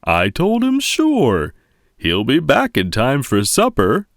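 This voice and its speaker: An easygoing storybook papa bear , acted out by a man reading aloud. His casual fatherly voice is lifted well above the reader's own pitch and swings in a lively way. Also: slow, no room sound, studio quality, heavily acted. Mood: casual, reassuring. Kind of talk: children's storybook character reading.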